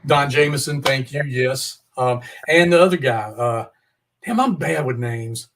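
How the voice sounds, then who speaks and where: Male podcast host, medium pitch, informal and conversational, loose slangy phrasing with occasional mild cursing. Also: expressive, measured pace, fairly bright tone, slight background noise. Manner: informal, mild swearing, y'all. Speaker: podcast host interviewing a musician. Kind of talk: casual chat.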